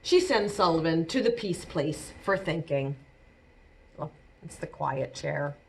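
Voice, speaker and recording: A woman reading a storybook aloud to young children, deliberate and expressive, leaning on key words. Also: deep voice, creaky, a little hiss or hum. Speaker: children's librarian, storytime reader.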